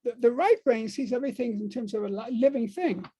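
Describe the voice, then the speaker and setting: High-pitched, mellow older American man. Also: lively intonation, husky. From United States. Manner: halting, repeats words and phrases for emphasis. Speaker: speaker on dream work. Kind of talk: informal lecture to a group.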